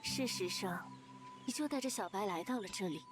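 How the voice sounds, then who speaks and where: A woman voicing a composed, confident female character in a visual novel, speaking calmly and in measured phrases. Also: mid-range voice, natural voice. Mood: calm, assured. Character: composed, confident female being trapped in darkness. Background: game music.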